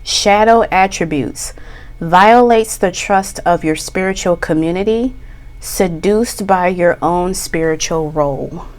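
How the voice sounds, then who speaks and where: Slow, hoarse woman tarot reader. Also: lowish voice. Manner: slow, relaxed, chatty with slang. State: slightly hoarse with a cough. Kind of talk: pick-a-card tarot reading.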